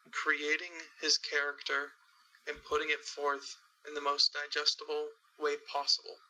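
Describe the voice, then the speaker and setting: A man giving a measured explanation as a guest demonstrator, laying out his points in short phrases. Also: on the high side, sharp tone, rough recording.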